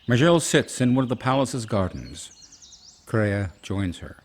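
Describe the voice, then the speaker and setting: A man's voice: on the low side, sing-song, unhurried, a little hiss or hum. A man narrating a scripted fantasy radio play in a dramatic storytelling style. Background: music.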